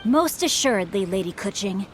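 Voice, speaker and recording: A woman's voice: mid-range voice, lively intonation, normal speed, a little hiss or hum, lightly acted. A woman voicing a courteous, bookish young nobleman in a video game, with formal, flowery politeness. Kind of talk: video game English dub acting.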